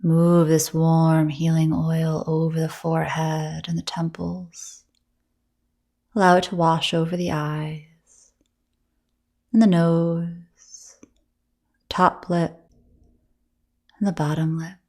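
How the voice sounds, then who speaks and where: A woman leading a guided meditation as a yoga nidra teacher, speaking softly and soothingly with breathy gentleness and long pauses between phrases. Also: low-pitched, flat delivery.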